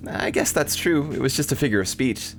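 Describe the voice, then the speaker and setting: A cheeky cartoon girl, voiced by a man, speaks in a raised pitch. She is breezy and a little indignant, like a visual novel character, with faint music behind. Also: lively intonation, a little fast, creaky. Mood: miffed. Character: painted-world cartoon girl, cheeky, easily miffed. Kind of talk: visual novel read-aloud.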